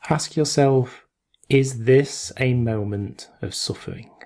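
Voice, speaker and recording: A man guides a meditation in a soft-edged, mellow voice with a gravelly creak. He gives gentle instructions very slowly, in short phrases with long pauses between them. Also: mid-range voice.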